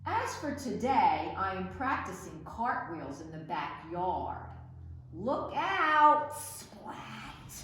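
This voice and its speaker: Casting: female storytime reader, unhurried and highly expressive, recorded in a very echoey room with slight noise. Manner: animated, dramatic, measured. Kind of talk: expressive children's picture-book read-aloud.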